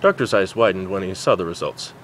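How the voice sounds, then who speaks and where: Animated man reading a story aloud. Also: deep voice, brisk, slight background noise. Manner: stumbles over words while reading. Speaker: amateur fan fiction reader.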